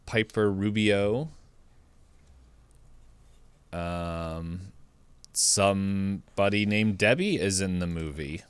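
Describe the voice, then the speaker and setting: A man's voice: low-pitched, drawn-out words, pauses often, clean tone, no room sound. A man co-hosting a podcast, discussing films casually and correcting himself as he goes. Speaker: podcast co-host.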